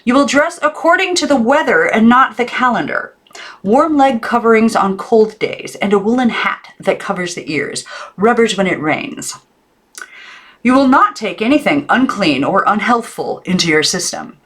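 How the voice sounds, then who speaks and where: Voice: mid-range voice, animated, moderate pace, a bit bright, voice pushed up. A woman voicing a strict, prim elderly aunt in a storybook, enunciating precisely. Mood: strict, lecturing. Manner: precise, over-enunciated, stern.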